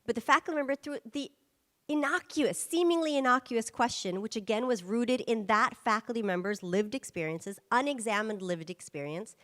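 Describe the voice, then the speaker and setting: A woman's voice: on the high side, moderate pace, in a large hall. A woman giving a conference presentation, speaking emphatically and checking in with rhetorical questions. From United States. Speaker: guest speaker.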